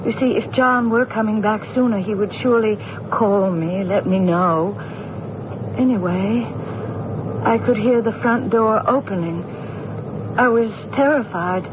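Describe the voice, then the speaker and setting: Actress as a guarded, poised widow, noisy old radio. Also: female voice, medium pitch, dull audio, lightly acted. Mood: tense, haunted. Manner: measured, guarded, formal, halting when recounting. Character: poised wealthy widow accused of killing her husband. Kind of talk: old-time radio mystery acting.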